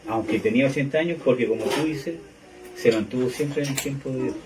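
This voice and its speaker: A man's voice: mid-range voice, fairly quick, background noise. A man in a conversational Bible discussion, speaking tentatively and qualifying his own statements. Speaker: discussion participant. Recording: distant mic, people clearly heard around.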